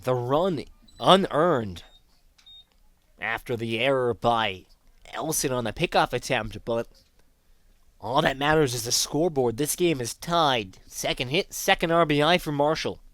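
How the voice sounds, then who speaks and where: A man's voice: mid-range voice, animated, unhurried, a bit bright, no echo. A man calling live baseball play-by-play as the announcer of a sports broadcast.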